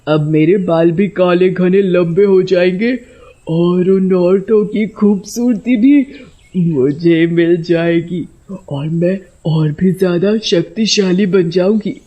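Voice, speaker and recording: A woman voicing a gloating, power-hungry witch in a bedtime story, slow and savouring in her delivery. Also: lowish voice, lo-fi sound.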